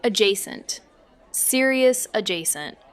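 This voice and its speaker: Young niece, audio drama, awkward, evasive, hesitant delivery, unhurried pace, medium pitch. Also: female voice, expressive, clean tone. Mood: flustered, reluctant. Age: young adult. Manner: halting, flustered, hedging. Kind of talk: naturalistic audio drama dialogue.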